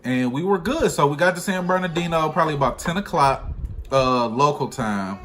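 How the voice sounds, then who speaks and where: A man's voice: a little high, expressive, fairly quick, a bit bright. Man telling casual stories over background noise. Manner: informal, slangy, profane. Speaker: truck driver vlogger. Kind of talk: casual storytelling chat.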